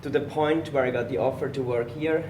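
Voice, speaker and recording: A man from Switzerland, a personal trainer telling his story as a guest in a relaxed interview recorded at a gym. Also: mid-range voice, fairly quick, echoey room, far from the mic, background noise. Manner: relaxed storytelling, slightly non-native phrasing. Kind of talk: casual podcast interview.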